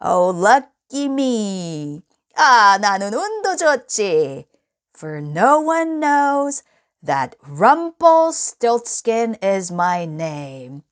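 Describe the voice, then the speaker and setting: A woman's voice: medium pitch, sing-song, drawn-out words. A woman voicing a scheming little man from a fairy tale, gleeful and taunting in a singsong storybook manner. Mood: gleeful, boastful. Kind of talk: storybook read-aloud character voice.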